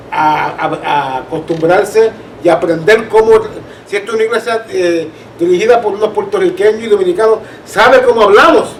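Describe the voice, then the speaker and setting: A man with a Caribbean Spanish accent teaching an informal church Bible class, telling anecdotes conversationally and often checking that listeners follow. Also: sits high. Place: church room. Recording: distant roomy mic, background noise.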